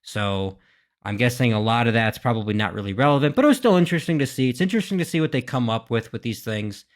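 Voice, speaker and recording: A man's voice: medium pitch, lively intonation, fast talker. A man giving casual, unscripted reaction commentary as a gaming video host, thinking aloud and hesitating as he goes.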